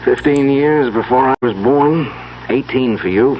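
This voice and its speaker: An actor playing a wry, affectionate husband in an old-time radio drama, conversational and gently teasing. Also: male voice, mid-range voice, unhurried, close to an everyday voice. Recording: muffled vintage radio recording, faint hiss.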